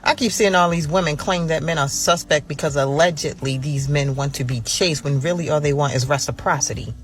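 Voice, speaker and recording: A woman, preaching to her followers in a sassy, direct way. Soft music runs underneath. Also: sits low, brisk. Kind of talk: social media video.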